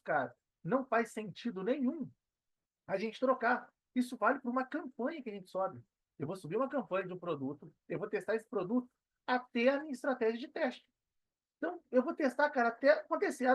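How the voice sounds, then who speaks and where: A man's voice: sits high, no echo. A Brazilian man speaking casually and emphatically as an interview guest, using colloquial speech and often quoting his own thoughts. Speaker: podcast guest, affiliate marketer. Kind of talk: casual interview talk, telling own experience.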